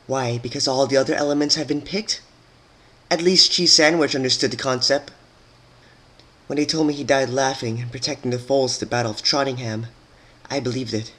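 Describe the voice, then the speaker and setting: Stern, wrathful pony princess for a fan-fiction drama, played by a male reader: raised pitch, regal, commanding, accusatory. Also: a bit bright, close to an everyday voice.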